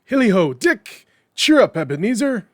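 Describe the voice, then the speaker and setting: A fat, jolly old master from a storybook. The voice is high and swoops widely, rich and booming. He calls out heartily with drawn-out, exuberant cries, recorded close and dry. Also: big pitch swings, dry recording. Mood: jovial, exuberant. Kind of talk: dramatic storybook reading.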